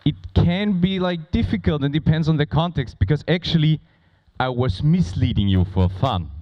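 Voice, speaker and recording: A man who is not a native English speaker moderating a conference on stage, talking informally and playfully with a loud, projecting voice. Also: fairly high pitch, lively intonation, moderate pace, lo-fi sound. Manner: playful, bantering with audience. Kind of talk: informal live stage presentation. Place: conference stage before a large audience.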